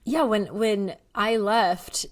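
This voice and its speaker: A woman's voice: mid-range voice, slow. A woman in her thirties, originally from Bulgaria, talking casually as an author guest, full of likes, ums and restarts, laughing often. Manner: chatty, laughs often, many fillers and restarts. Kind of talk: casual interview conversation.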